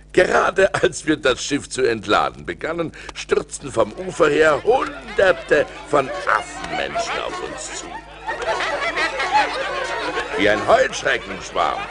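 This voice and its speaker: A man's voice: mid-range voice, creaky, fairly bright tone, breathy edge, exaggerated voice. An actor playing an adventurous seafarer recounting his voyages in a theatrical, precise German audio-play style, on a vintage vinyl record. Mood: reflective, suspenseful. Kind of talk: dramatic scripted storytelling, audio play.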